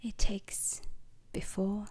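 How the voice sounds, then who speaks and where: A woman playing a solemn, strict alien instructor in an ASMR roleplay, lecturing deliberately in a breathy whisper. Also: warm tone. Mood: solemn, instructive.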